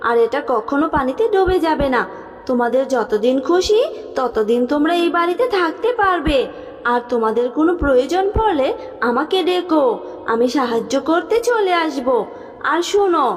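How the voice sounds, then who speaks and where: High-voiced kindly water fairy played by a woman. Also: in a large hall. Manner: reassuring, sweetly addressing a child. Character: kind, gentle female water fairy from the sea. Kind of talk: Bengali children's cartoon dub.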